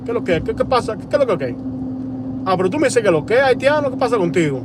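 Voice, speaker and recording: A man voicing a brash, boastful Dominican man with a Dominican Spanish accent who talks rapidly and teases. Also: high voice, big pitch swings, background noise.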